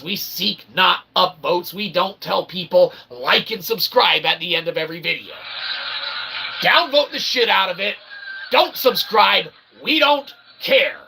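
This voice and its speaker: A man's voice: high voice, vocal fry, slightly rough, low-quality recording. A man delivering a rambling, opinionated monologue as an author and channel host, wordy and emphatic, with sweary pseudo-philosophical phrasing. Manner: verbose pseudo-philosophical phrasing, sweary, repeatedly checking "okay?"; emphatic, bursts into shouting.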